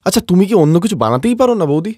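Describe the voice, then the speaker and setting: A man voicing a cheeky young brother-in-law who mocks the cooking, pitched far above his own voice. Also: sing-song, very fast, darkish tone, dry recording, clean studio sound. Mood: mocking. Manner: complaining, mocking.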